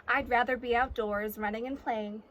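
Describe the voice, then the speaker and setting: Woman reading as a restless young girl, high voice. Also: fairly even intonation, normal speed, fairly bright tone, close to an everyday voice. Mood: weary. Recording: clearly noisy background.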